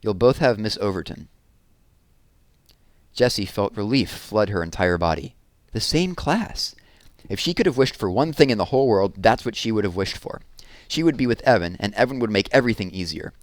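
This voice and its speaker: Low-voiced man reading a children's book. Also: a little fast, no room sound. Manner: expressive storytelling. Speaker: read-aloud narrator. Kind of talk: children's book read-aloud.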